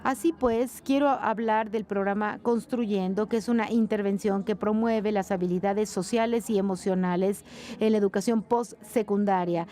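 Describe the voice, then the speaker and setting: A woman giving live spoken interpretation of a conference panel, brisk, with frequent fillers. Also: mid-range voice, steady tone, mellow. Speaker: simultaneous interpreter.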